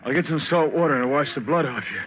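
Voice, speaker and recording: An actor playing an earnest, anxious young sailor recounting and living an ordeal at sea in a 1940s radio drama. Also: male voice, fairly high pitch, animated, fast, a little hiss or hum, lightly acted. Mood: concerned. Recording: dull lo-fi 1940s radio recording.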